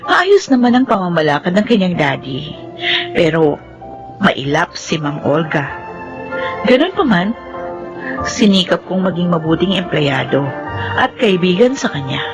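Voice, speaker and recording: A woman playing a caring, respectful female office assistant in a Filipino radio drama. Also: fairly low pitch. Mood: earnest narrating. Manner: respectful, solicitous.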